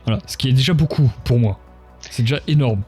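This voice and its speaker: A man's voice: medium pitch, fairly quick, dark tone, breathy edge, soft background music. A man hosting a casual livestream interview, speaking in a hesitant, searching way and often repeating phrases. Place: live online stream.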